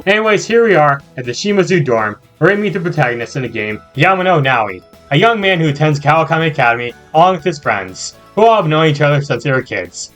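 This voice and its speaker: A man hosts a scripted, jokey game review over background music. His voice is fairly high and bright, and he leans into playful, exaggerated asides. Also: big pitch swings, fast, few pauses. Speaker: video game reviewer, channel host. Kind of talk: scripted comedic video review narration.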